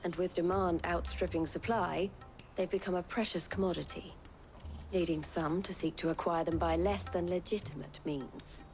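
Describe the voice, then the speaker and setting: An actress playing a shrewd, haughty merchant in a video game, with a wry, businesslike manner. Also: female voice, fairly low pitch, steady tone, moderate pace, darkish tone, soft background music, lightly acted. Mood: measured, knowing. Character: brisk, shrewd, haughty female caravan owner.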